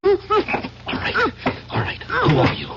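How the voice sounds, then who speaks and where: A man's voice: unhurried, close to an everyday voice. An actor playing an agitated, defiant young American man wrongly accused, with an American accent, in a radio drama. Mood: wary, defiant. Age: young adult. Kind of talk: old-time radio drama acting. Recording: muffled noisy old radio broadcast.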